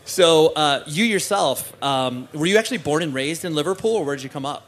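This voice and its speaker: A man's voice: fairly high pitch, expressive, brisk. Meandering male interview host. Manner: meandering, hesitant, many "uh" and restarts while framing questions. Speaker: podcast host. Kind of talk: casual interview chat.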